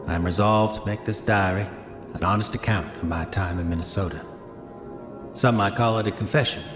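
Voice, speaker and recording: A haunted, roguish gold prospector with a low voice, intoning his diary for a horror audio drama. His diction is formal, old-fashioned and deliberate, full of self-justification. Long hall reverb surrounds him. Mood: grave, confessional. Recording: echoey hall reverb, music underneath.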